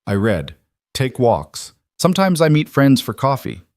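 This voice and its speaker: Man voicing a guarded, quiet young woman. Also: mid-range voice, big pitch swings, unhurried, dry recording, clean studio sound, pitched above the performer's own voice. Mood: guarded, wistful. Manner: hesitant, soft-spoken, evasive. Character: guarded young woman, quiet maid hiding her past.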